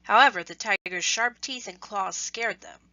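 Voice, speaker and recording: Bright-voiced woman reading a children's story. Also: mid-range voice, moderate pace, no echo, dull audio. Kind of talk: scripted children's story narration.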